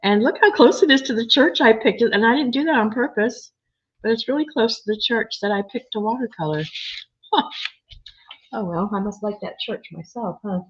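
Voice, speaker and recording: Chatty crafter woman, husky voice, lo-fi. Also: on the high side, normal speed. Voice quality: slightly hoarse, froggy. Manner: chatty, thinking aloud while working, reading comments. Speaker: crafter showing a project. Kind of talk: casual crafting chat. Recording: dull lo-fi sound.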